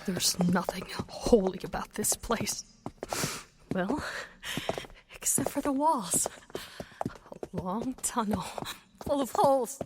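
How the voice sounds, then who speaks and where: A woman's voice: medium pitch, animated, slow pace, long pauses, background noise. An actress playing a nervous, wry young woman adventurer who makes dry, hesitant remarks.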